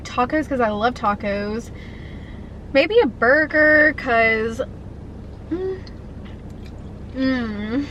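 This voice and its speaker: High-voiced chatty young woman eating. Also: expressive, very slow, long pauses, fairly bright tone, slight background noise. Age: 20s. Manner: chatty, enthusiastic, talks while eating. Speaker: food vlogger. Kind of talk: casual mukbang chat.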